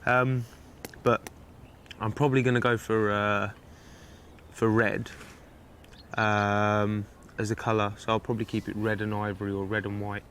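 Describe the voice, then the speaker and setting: A man's voice: lowish voice, flat delivery, measured pace, pauses often, a bit bright, slight background noise. Chatty young man, upbeat and laughing. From Turkey. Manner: chatty and upbeat, laughs easily, haggles cheerfully. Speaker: groom planning his wedding. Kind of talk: casual reality documentary talk.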